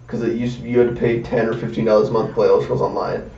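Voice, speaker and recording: A man's voice: lowish voice, little pitch movement, racing, creaky, dark tone, soft background music. Male gaming panelist, conversational, conceding and repetitive, dull echoey recording. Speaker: podcast panelist discussing video games. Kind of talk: casual chat and debate. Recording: echoey room, distant dull mic.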